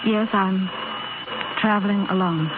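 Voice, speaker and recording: An actress playing a gentle, brave young woman in a 1930s radio romance, refined and wistful. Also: medium pitch, fairly even intonation, measured pace, lightly acted. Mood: polite, quietly resolved. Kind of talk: 1930s radio drama acting. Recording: thin, tinny 1930s radio broadcast, music.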